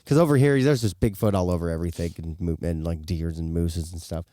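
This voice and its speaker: A man with a low, mellow voice co-hosts a podcast. He chats casually and informally, rambling along in a joking way. The sound is dry, with very little room in it. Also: a little fast, no room sound. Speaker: podcast co-host. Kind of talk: casual chat.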